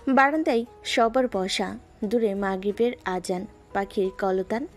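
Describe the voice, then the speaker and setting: A woman's voice: mid-range voice, soft background music. Woman narrating a romance story. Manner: measured, lyrical.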